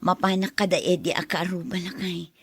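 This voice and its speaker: An actress playing a poor, devoted wife, earnest and pleading, in a radio drama. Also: female voice, on the low side, level tone, a little fast, breathy edge, dry recording, clean studio sound. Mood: worried, earnest.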